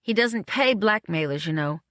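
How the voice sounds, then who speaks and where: A woman's voice: mid-range voice, animated, normal speed, a bit bright, no echo, studio quality, lightly acted. A woman voicing a girlish, anxious film actress with a hesitant, slangy, old-fashioned way of talking in an audiobook. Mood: protective.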